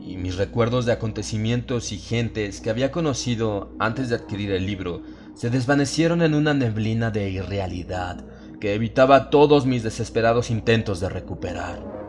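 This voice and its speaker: A man with a fairly deep voice reads gothic horror as a dramatized audiobook. His delivery is ominous and measured, with theatrical, drawn-out phrases. Atmospheric music plays clearly behind him. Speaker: audiobook narrator. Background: atmospheric music bed.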